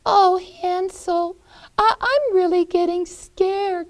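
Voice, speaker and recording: A woman voicing a frightened little girl in a storybook tale, high and pleading. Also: takes it slowly, vocal fry, a little mellow, heavily acted. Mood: scared, pleading. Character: scared little girl Gretel.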